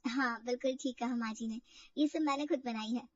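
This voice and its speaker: A woman's voice: a little high, fairly even intonation, brisk, no echo, distant mic, dull audio, voice pushed down, lightly acted. A woman voicing a polite, anxious young new bride in a family drama story, hesitant and deferential. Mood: hesitant, worried.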